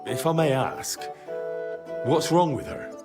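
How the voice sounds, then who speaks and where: A man's voice: a little high, takes it slowly, loud music behind the voice. An actor playing a dutiful, dry young medieval man-at-arms in a video game, direct and courteous. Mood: polite. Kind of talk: video game cutscene acting.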